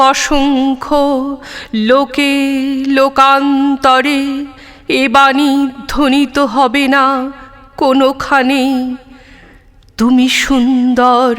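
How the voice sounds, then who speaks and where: A woman with a high voice gives a solemn poetry recitation. She takes it very slowly, placing deliberate pauses between phrases. Her delivery is measured, expressive and dramatic.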